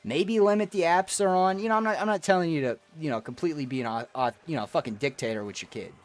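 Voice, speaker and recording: A man's voice: a little high, quick pace. A man streaming a game, chatting with viewers in a profane, rambling, ranting way. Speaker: gaming streamer. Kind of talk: casual rambling stream chat.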